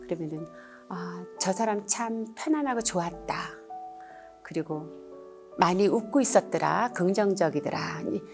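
A woman's voice: on the low side, lively intonation, normal speed, creaky, a little mellow, soft background music. A middle-aged or older woman, a painter, answering interview questions in an earnest, polite and reflective way.

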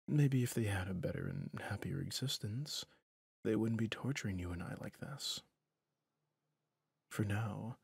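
Casting: male actor as a resigned, tender villain for an ASMR fantasy drama; low, gravelly, breathy near-whisper, brooding and confiding. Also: dry recording, heavily acted. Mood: pitying, weary. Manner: slow, brooding, confiding with pauses. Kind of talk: intimate ASMR roleplay drama.

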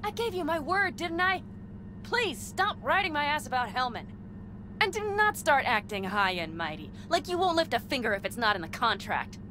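A woman's voice: high-pitched, very animated, normal speed. A woman playing a headstrong, vengeful young nomad in a video game, speaking bluntly and insistently. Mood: urgent, frustrated. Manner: blunt, insistent, foul-mouthed. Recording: loud vehicle noise and game ambience.

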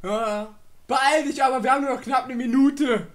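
A man's voice: very high voice, steady tone, moderate pace. A man performing improvised comic talk and mock announcements for a radio parody.

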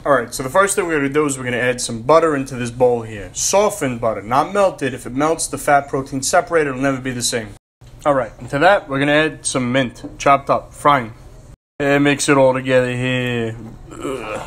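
Fast-talking brash young home cook. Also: male voice, mid-range voice, a bit bright. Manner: brash, joking, streetwise slang. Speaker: home cooking host. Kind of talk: casual cooking vlog narration. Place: home kitchen, indoors. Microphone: phone camera held close.

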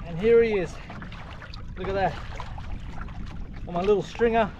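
A man in his thirties with an Australian accent, giving excited commentary while fishing from a kayak, filmed by a camera on the boat. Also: sits high, a little slow, dull audio. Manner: enthusiastic, exclaims often. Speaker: fishing vlogger. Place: kayak on open water outdoors. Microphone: action camera on the boat. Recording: loud wind and water noise. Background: water and wind.

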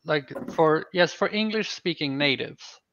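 A Norwegian man speaking English as a second language on a video call, chatting in a measured way and adding careful corrections. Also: fairly high pitch, a bit bright, a little hiss or hum. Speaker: guest. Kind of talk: casual chat on a video call. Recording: dull, dry video call audio.

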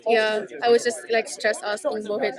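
A young woman vlogging her graduation day, talking in an excitable, giggly way into a camera held at arm's length on a coach bus. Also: on the high side, normal speed. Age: young adult. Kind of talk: casual vlog chat. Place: inside a crowded coach bus. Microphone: handheld camera at arm's length. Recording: chatter of a crowd behind.

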